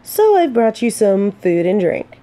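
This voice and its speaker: A woman playing a gentle, earnest female knight in a fantasy romance audio drama, speaking softly with hesitant, dramatic pauses. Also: mid-range voice, big pitch swings. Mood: shy, fond. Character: gentle, earnest female knight consoling a despairing blind companion.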